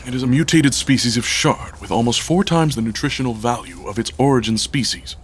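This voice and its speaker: An actor playing an irritable, long-legged head of a tech company in an audio drama, speaking in a terse, dry, weary manner. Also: male voice, mid-range voice, fairly quick, slight background noise, close to an everyday voice. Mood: dry, impatient.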